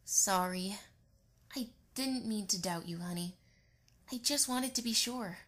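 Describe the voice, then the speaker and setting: A woman playing a gentle, fussy mother pony in an amateur audio drama. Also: medium pitch, a little slow, natural voice. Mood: apologetic, fond.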